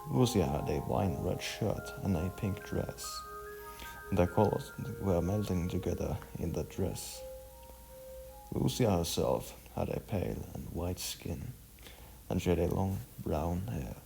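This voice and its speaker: A man narrating a scripted story in a halting way, stumbling over words. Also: deep voice, expressive, vocal fry, over music, low-quality recording.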